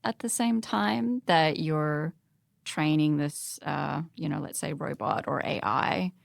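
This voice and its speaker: A woman with a low voice and plenty of vocal fry, recorded close and dry. She hosts a conversational podcast, thinking aloud and putting questions to the group. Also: slow pace, no room sound. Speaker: podcast host.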